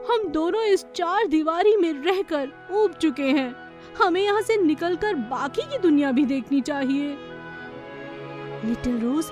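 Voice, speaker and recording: Lady doing young fairy princess, super high girly voice, innocent n earnest, cartoon story. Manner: high, earnest, girlish delivery.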